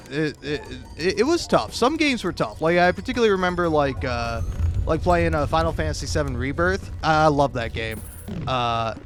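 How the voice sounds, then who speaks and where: A man's voice: mid-range voice, a bit bright. A man doing casual, rambling YouTube gaming commentary, stammering and restarting often, with profane, self-deprecating talk.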